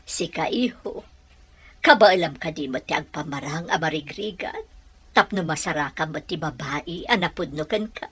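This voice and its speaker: Husky, lowish-voiced Filipina mother. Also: expressive, moderate pace, fairly bright tone, heavily acted. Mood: advising. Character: approving, advising middle-aged mother of a son. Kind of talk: Ilocano radio drama acting.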